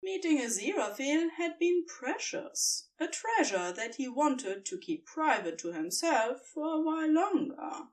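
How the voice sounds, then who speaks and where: A woman narrating fan fiction as an expressive scripted audiobook reading. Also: sits high, a little slow, hardly any pauses, distant mic.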